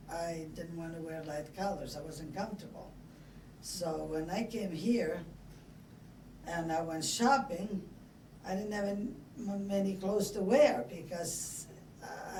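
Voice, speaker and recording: An older woman from Italy with an Italian accent, reminiscing casually and haltingly in an interview as she tells stories from her life. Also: lowish voice, mellow. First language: Italian dialect. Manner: halting, chatty storytelling. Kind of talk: casual oral-history reminiscing. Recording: echoey room, distant mic, background noise.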